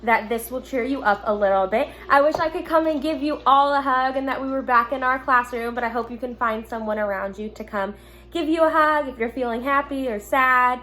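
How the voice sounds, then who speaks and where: A woman teacher speaking gently and simply to young children, reading a picture book aloud at home with casual asides. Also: high-pitched, a little fast. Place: at home in an apartment. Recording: noticeable background noise, home recording.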